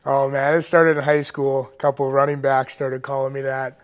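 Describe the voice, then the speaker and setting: A man's voice: mid-range voice, flat delivery, fairly quick, smooth. A young American man, a college athlete, giving casual, laid-back interview answers in short clipped phrases. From Moorhead, Minnesota, United States. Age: young adult. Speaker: college football player, interview guest.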